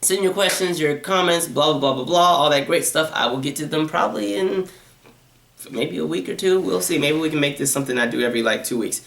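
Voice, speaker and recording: A man with a high, nasal voice, rambling quickly through viewer questions in a casual vlog. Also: gravelly, a bit bright, echoey room. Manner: talks fast and rambles, with many fillers and asides. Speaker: YouTuber answering viewer questions.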